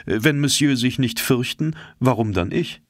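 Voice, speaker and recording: A man's voice: medium pitch, a little slow, no echo, clean studio sound, pitched above the performer's own voice, lightly acted. A man reading an audiobook, voicing a prim, loyal manservant in a polite, careful, slightly raised voice. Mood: devoted.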